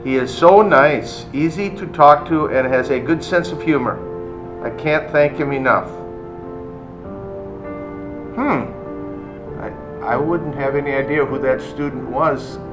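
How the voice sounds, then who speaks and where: A man's voice: fairly high pitch, measured pace, long pauses, low-quality recording. Middle-aged man reading aloud over music. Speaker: math and computer science teacher. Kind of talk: reading reviews aloud and reacting casually. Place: indoor classroom.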